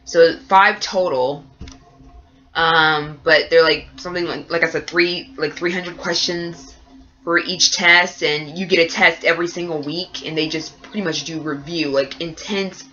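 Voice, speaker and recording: A nursing student chatting through a casual vlog update, sounding nasal and congested from a cold and rambling along with lots of fillers. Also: female voice, low voice, fairly even intonation, a little slow, bright, forceful tone, lo-fi sound.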